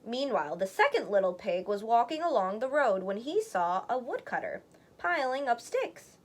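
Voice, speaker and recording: A woman storyteller narrating a children's read-aloud in an expressive, deliberate way. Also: on the high side.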